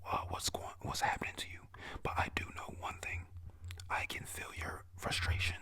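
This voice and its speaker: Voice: moderate pace, bright, forceful tone, natural voice. A reader whispering the lines of a battered, tough older male marine speaking to a former rival. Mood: solemn.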